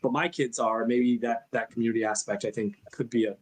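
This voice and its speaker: A man's voice: medium pitch, steady tone, a little fast, slight background noise. A man with a mid-range voice, speaking as a podcast guest. He sounds earnest and rambles, stumbling over repeated words before speeding up. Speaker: guest, real estate investor. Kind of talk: casual podcast conversation.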